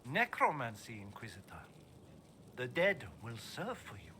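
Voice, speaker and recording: An actor playing a solemn necromancer teacher in a video game, speaking in a formal, grandiose and deliberate way. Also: male voice, mid-range voice, wildly swooping pitch, bright, forceful tone, a little hiss or hum, full character voice. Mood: solemn, proud. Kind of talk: video game character dialogue.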